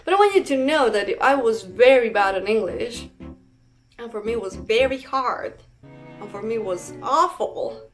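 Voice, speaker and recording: Young woman, Ecuadorian Spanish accent, chatty and rambling, somewhat high voice, faint music underneath. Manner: chatty, rambling, frequent fillers and restarts. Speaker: vlogger. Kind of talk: casual storytime.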